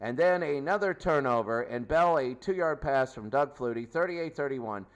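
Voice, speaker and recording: A man's voice: medium pitch, animated, normal speed, poor audio. A man hosting a sports show, recapping a game off the cuff in clipped runs of stats, repeating phrases for emphasis. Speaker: sports show host, commentator. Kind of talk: unscripted game recap commentary.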